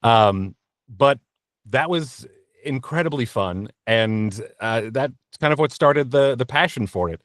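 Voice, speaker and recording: A man giving casual, conversational interview answers as a voice actor guest, often halting and correcting himself as he tells his story. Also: mid-range voice, animated, fairly bright tone, no room sound, studio quality.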